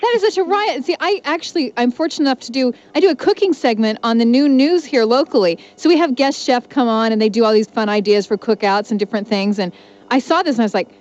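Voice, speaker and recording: A woman's voice: on the high side, fast, darkish tone. A woman co-hosting a radio show, chatting casually with a gushing, playful manner. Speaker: radio co-host. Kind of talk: casual morning-radio interview chat. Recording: dull lo-fi radio aircheck.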